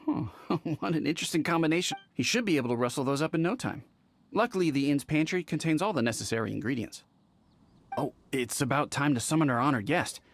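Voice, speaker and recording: A woman's voice: sits low, animated, fast talker. A woman playing a wry, gracious and capable innkeeper in a video game. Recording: music under the voice.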